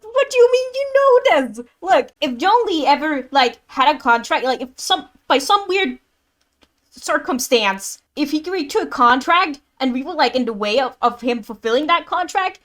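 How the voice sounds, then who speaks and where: A woman giving casual live commentary as a game streamer, thinking aloud in halting, exclamatory bursts. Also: high-pitched, expressive, fairly bright tone.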